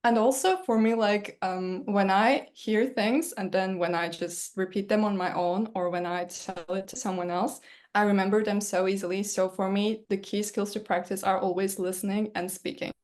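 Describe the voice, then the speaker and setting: A woman whose first language is Turkish, chatting casually as a guest about learning languages, easygoing and quick to laugh. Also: mid-range voice, steady tone, a little mellow. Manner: easygoing, laughs often, filler "kinda". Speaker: polyglot guest. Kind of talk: casual conversation.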